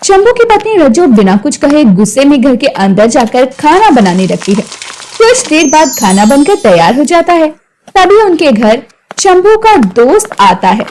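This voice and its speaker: A woman's voice: high voice, big pitch swings, normal speed, a little mellow, a little hiss or hum. Woman narrating a children's tale. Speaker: storyteller. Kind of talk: scripted story narration.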